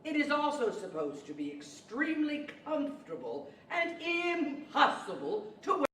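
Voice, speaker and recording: A woman's voice: sits high, sing-song, normal speed, fairly bright tone. A woman playing a wry, clever talking horse with a chuckling, knowing delivery, in radio theater style. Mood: wry, confiding. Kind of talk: 1940s radio theater acting. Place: large library event room, live performance. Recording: echoey hall from far off, faint noise.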